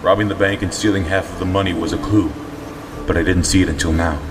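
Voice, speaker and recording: An actor playing a stern, terse, confident superhero in an audio drama. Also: male voice, low-pitched, fast talker, noisy background, close to an everyday voice. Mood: calm, deductive. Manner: terse, deadpan, resolute. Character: stern, brooding male superhero, calm and confident. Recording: long reverb, music behind.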